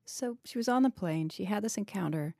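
A woman's voice: mid-range voice, expressive, fairly quick, gravelly, a little mellow, no echo, clean studio sound. A woman hosting a literary podcast, presenting and asking about a short story. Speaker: podcast host, fiction editor.

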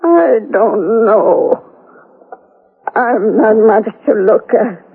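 An actress playing a guarded, fanatical old mother in a radio drama, with halting, evasive speech that can turn fervent. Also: a little high, expressive, gravelly, breathy edge, heavily acted. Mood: stunned, fearful. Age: older. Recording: tinny, phone-like old radio broadcast.

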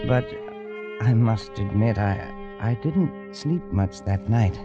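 A man's voice: lowish voice, animated, takes it slowly. A man playing a careless, arrogant adventurer in an old-time radio drama, flippant and scoffing, hesitant when cornered. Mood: haunted. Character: careless arrogant male adventurer, later haunted. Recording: lo-fi archival radio recording with music.

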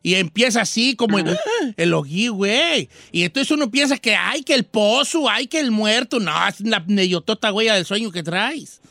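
A man's voice: high voice, lively intonation, moderate pace, sharp tone, no echo, studio quality, exaggerated voice. A man voicing a folksy older Mexican country character as a radio host, with slangy, teasing, stammering banter and a Mexican accent. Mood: chatty. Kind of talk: casual radio banter with callers.

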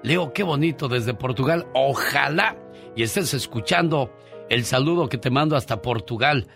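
A man's voice: medium pitch, moderate pace, creaky, faint music behind. A man with a Mexican accent hosting a radio show, joking and teasing as he reads listener messages. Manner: joking, teasing, animated storyteller. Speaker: radio host. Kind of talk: comic radio banter, reading listener messages.

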